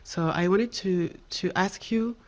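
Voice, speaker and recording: Slow-talking woman from cameroon, lowish voice, keeps searching for words as she asks her question. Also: a little mellow. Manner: hesitant, searching for words, apologetic. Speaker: audience member, architect. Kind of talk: asking a question at a talk.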